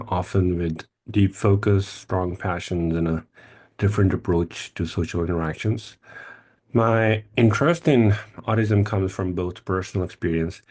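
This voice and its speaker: A man, a company chief executive, giving a steady, scripted panel introduction. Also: low voice.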